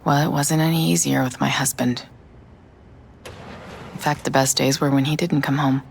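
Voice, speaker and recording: An actress playing a worn-down, bitter widowed mother of three in a video game, her voice low and husky. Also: steady tone, moderate pace, slight background noise. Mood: bitter, grieving.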